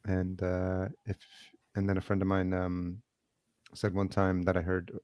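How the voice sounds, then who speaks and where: A man speaks in a low, even monotone over a video call. He talks as an interview guest in an unhurried, measured way, pausing on ums and restarting his sentences. The sound is dry and close. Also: no echo. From El Salvador, raised in Yonkers, New York. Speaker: podcast producer, guest. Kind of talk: casual interview chat. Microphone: remote video call.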